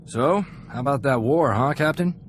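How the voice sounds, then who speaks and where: Actor as an earnest young space gunslinger, noisy background. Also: male voice, medium pitch, moderate pace, lightly acted. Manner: folksy, earnest, chatty. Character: earnest, idealistic young freighter crewman and gunslinger. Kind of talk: video game voice acting. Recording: clear background ambient noise.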